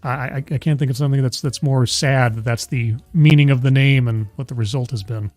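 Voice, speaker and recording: A man's voice: medium pitch, fairly even intonation, a little fast, darkish tone, no room sound, faint music behind. A man hosting a casual chat show, who stammers and restarts often while arguing his point. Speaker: host.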